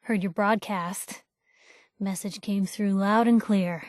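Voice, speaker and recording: A spunky young woman reporter, played by an actress as a video game companion, recorded close and dry. She talks in a wry, colloquial way, expressive and quick to wisecrack, with an earnest conscience underneath. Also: mid-range voice, dry recording, close to an everyday voice. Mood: curious, bantering.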